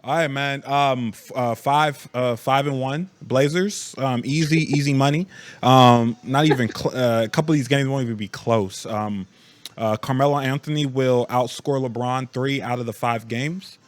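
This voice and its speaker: A man co-hosting a podcast in casual sports chat, halting and laid-back in the way he talks. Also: mid-range voice, fairly even intonation, dry recording. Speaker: podcast co-host.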